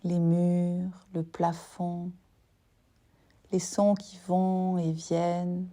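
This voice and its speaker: A woman guides a meditation in a slightly low, warm and relaxed voice. Her delivery is very slow and soothing. She speaks in short phrases separated by long, unhurried pauses, as a yoga nidra teacher would.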